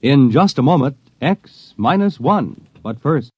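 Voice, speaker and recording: A man's voice: medium pitch, lively intonation, unhurried. An American man reading scripted announcements in 1950s radio style. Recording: dull vintage radio recording, music behind. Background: music.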